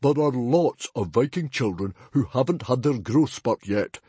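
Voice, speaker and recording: A man's voice: mid-range voice, big pitch swings, moderate pace, darkish tone, slightly rough, no room sound, pitched above the performer's own voice. A man voicing a big, blustering Viking chief in a storybook reading. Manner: roaring, defiant.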